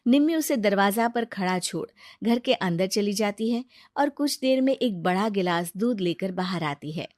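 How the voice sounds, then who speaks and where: A woman narrating a scripted moral story in an even storytelling manner. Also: medium pitch, normal speed, no room sound, studio quality.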